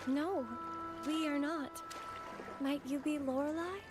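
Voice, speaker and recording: A tiny, girlish game companion speaks in an extremely high voice. She is earnest and excitable, stressing her words emphatically. Music plays behind her. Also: slow pace. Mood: earnest, curious. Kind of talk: video game voice acting.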